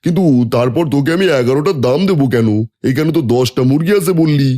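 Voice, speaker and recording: A man doing a friendly village chicken trader in an animated folk tale who teases and jokes with an old friend. His voice is a bit high, yet soft and mellow. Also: fast talker, natural voice. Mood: jovial. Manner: friendly, jovial, teasing. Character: middle-aged village chicken trader Ratan.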